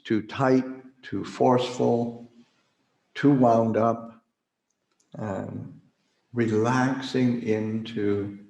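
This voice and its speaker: A man leading a guided meditation as a Buddhist teacher, speaking haltingly with long pauses as he searches for words. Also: mid-range voice, gravelly, darkish tone. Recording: roomy, echoing livestream recording.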